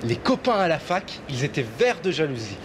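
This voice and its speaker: A man's voice: on the high side, sing-song, moderate pace. An actor in his twenties playing an eager young student in a scripted French lesson dialogue. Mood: excited, proud. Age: 20s. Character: eager young male student showing off a rental car. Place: outdoors on a city street, by and in a car. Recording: clear street and vehicle noise.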